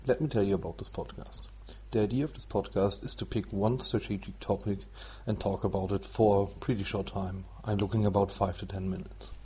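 A man from Germany with a German accent, hosting a solo podcast in an explanatory, somewhat halting non-native way. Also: lowish voice, steady tone, mellow, slightly rough. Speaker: podcast host. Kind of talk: explanatory solo podcast talk about card game strategy.

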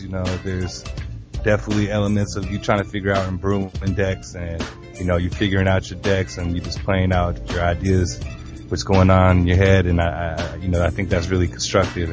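A man's voice: deep voice, level tone, a little fast. A man chatting easygoing as a guest on a podcast, rambling with fillers. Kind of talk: casual podcast chat. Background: music.

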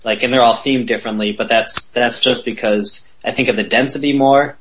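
A man's voice: mid-range voice, steady tone, a little fast, a bit bright, slight background noise, poor audio. A man from the US Midwest chatting enthusiastically and in a friendly way on a podcast. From Milwaukee, US Midwest. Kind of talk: casual podcast chat.

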